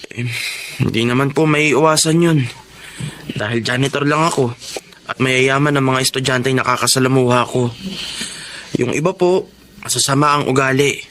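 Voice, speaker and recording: A man's voice: mid-range voice, moderate pace, long pauses, a bit bright, slight background noise, lightly acted. A man acting a humble, patient young student janitor in a Filipino radio drama, speaking politely and earnestly with a Filipino accent. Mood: earnest, respectful.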